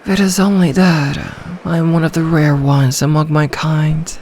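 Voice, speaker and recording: A woman playing an ancient, possessive sea dragon in human form for an ASMR roleplay, speaking in a regal, seductive, deliberate manner. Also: low voice, steady tone, darkish tone, a little hiss or hum. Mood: proud, imperious.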